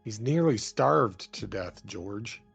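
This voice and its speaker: A male actor plays a level-headed sailor in a radio play. His delivery is lively in pitch yet unhurried, with a gravelly edge and a doubtful, measured manner, set over music. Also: full character voice. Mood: sober, doubtful. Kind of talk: radio drama dialogue.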